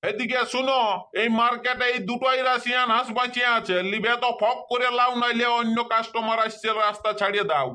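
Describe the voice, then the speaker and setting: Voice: flat delivery, fast, pushed and bright, lightly acted. A performer voicing an insistent old village street seller with a Purulia Bengali accent in a comedy cartoon. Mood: hawking. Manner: insistent, repetitive street-hawker sales pitch.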